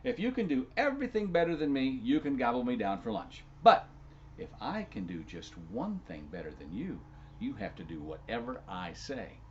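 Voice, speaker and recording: A man's voice: mid-range voice, big pitch swings, moderate pace, a little mellow, slight background noise, natural voice. A plucky, clever young girl, as a storytelling man puts her on talks back in a level, matter-of-fact way. The recording sounds dull and lo-fi. She never sounds scared. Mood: confident.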